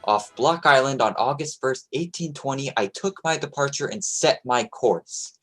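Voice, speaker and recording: A man's voice: medium pitch, normal speed, a bit bright, faint music behind, natural voice. Man playing an earnest young sea captain. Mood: solemn narration. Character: earnest, confident twenty-year-old New England sea captain. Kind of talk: old-time radio play acting, narrating his log.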